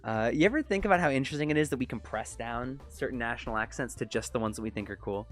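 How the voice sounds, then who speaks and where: A man with a Canadian accent rambles through a live game stream in a mid-range voice, talking quickly. He speaks close to a desk mic while leaning back in his chair. Faint game music plays beneath him. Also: mid-range voice, a bit bright. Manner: rambling, quick-talking, self-conscious about his pronunciation. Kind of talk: casual live-stream chat over gameplay. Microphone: desk mic set close to his mouth.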